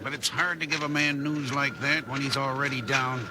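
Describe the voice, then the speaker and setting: An actor playing a brisk, dismissive mine owner with a shady streak in a 1950s radio drama, in a gravelly voice. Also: male voice, mid-range voice, fairly even intonation, lightly acted. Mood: gloomy, curt. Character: curt, evasive male mine owner, businesslike villain. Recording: noisy vintage radio drama recording.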